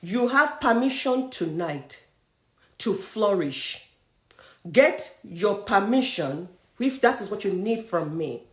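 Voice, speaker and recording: A young Nigerian woman with a Nigerian accent, giving a forceful, preachy motivational career talk that challenges her listeners directly. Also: medium pitch, echoey room. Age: young adult. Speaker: career coach.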